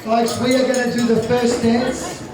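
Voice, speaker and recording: Very high flat-voiced man announcing. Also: normal speed, very noisy. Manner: announcing to guests. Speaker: wedding MC. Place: wedding reception hall. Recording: echoey hall, voice from far off.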